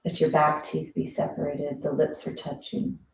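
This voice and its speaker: A breathwork teacher leading a guided breathing meditation with soft, slow, soothing instructions. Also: mid-range voice, completely flat delivery, creaky, recorded from a distance. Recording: roomy echo.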